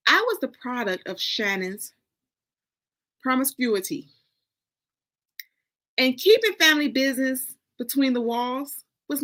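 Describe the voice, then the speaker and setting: An American woman from Detroit giving a dramatic first-person story reading, with deliberate, expressive phrasing and pauses. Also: a little high. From Detroit, United States. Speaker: author reading from a novel.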